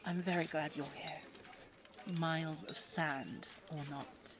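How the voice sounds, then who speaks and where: A woman's voice: low-pitched, steady tone, slow pace, noisy background. A woman playing a spirited, teasing young English lady of the 1920s in an audio drama, chatty and well-spoken with an English accent. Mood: fond, thoughtful. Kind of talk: audio roleplay drama, addressed to the listener.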